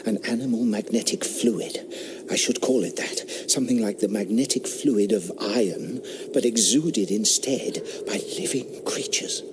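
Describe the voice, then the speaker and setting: An actor playing a curious eighteenth-century squire who tests for ghosts, earnest and eager, dropping to a hush when he explains his theories. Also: fairly low pitch, measured pace, noisy background, close to an everyday voice. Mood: hushed, intent. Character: curious 18th-century country squire experimenter. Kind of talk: radio drama acting. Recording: audio drama with woodland ambience.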